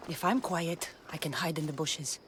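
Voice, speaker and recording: A woman's voice: low voice, moderate pace, noisy background, lightly acted. An actress playing a tough young mercenary woman in a video game, blunt and sardonic, with a Greek accent. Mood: wary muttering. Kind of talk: video game cutscene acting.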